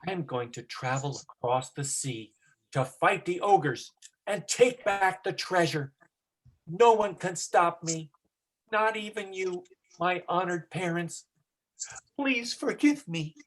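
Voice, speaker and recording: A young folktale hero acted by an amateur reader, earnest and brave. The delivery is very slow and deliberate, with a solemn yet highly varied melody. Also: lively intonation, poor audio. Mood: solemn, resolute. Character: earnest, brave fifteen-year-old boy hero. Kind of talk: amateur dramatic reading of a folktale.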